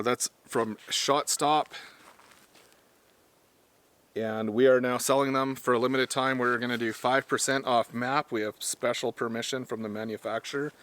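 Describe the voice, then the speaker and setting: A man with a hoarse voice from smoke, talking casually to camera about gear he sells, rambling and correcting himself as he goes. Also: mid-range voice, fairly bright tone. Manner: rambling, self-correcting, plain-spoken. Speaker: firearms gear seller and trainer. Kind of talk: casual product talk to camera.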